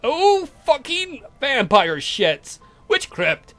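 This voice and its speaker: Man playing a sardonic, animated vampire count. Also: extremely high pitch, extremely slow, dry recording, soft background music, pitched above the performer's own voice, full character voice. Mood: exasperated. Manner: exasperated, sardonic, foul-mouthed. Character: irritable, sardonic male vampire count, protective head of family.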